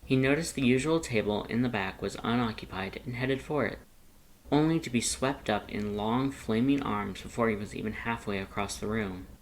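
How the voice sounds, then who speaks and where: A narrator reading fan fiction aloud as an expressive, scripted audiobook-style story. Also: medium pitch, moderate pace, rough recording.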